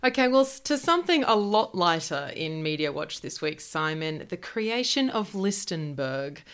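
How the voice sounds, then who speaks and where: Aussie woman cohosting a news podcast, lowish voice, casual and chatty. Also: big pitch swings, normal speed, a bit bright, smooth. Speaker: podcast co-host.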